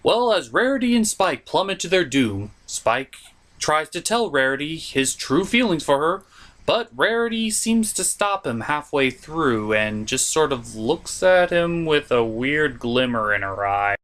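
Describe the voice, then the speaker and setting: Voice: mid-range voice, animated, a little slow, fairly bright tone. A host of a puppet review show narrating a scripted comic episode recap in a theatrical, mock-dramatic manner.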